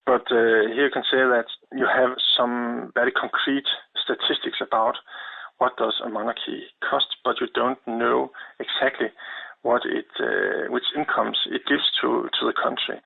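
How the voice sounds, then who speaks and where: A man with a Danish accent explaining things as an expert radio guest, deliberate and hesitant, often rephrasing, over a narrow phone-like line. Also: medium pitch, little pitch movement, vocal fry, slightly rough. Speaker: expert guest, history lecturer.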